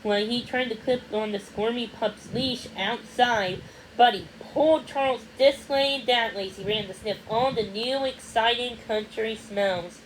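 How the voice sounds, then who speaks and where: A woman with a fairly high voice narrates a children's storybook aloud. She reads a little haltingly, doubling back on words now and then, and there is noticeable noise in the recording. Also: low-quality recording. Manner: halting reading, repeats and corrects words. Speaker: read-along narrator.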